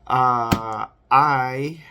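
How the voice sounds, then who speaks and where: A man in his forties, hoarse from a recent cold, rambling casually on his vlog with lots of fillers and restarts. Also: mid-range voice, steady tone, very slow, background noise. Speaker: vlogger. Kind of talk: casual unboxing chat.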